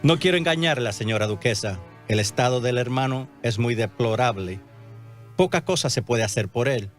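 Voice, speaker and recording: An actor playing a grave, frank medical attendant, serious and formal, in a religious radio drama. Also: male voice, mid-range voice, lively intonation, moderate pace, faint music behind, lightly acted.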